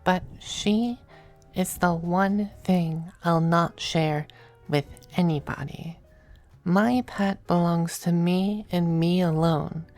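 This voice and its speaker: A woman playing a possessive, doting, androgynous male demon lover in an intimate ASMR roleplay, speaking softly and often slipping into whispers. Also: fairly low pitch, fairly even intonation. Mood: possessive, firm. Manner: soft, breathy, unhurried, often dropping to whispers. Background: faint music.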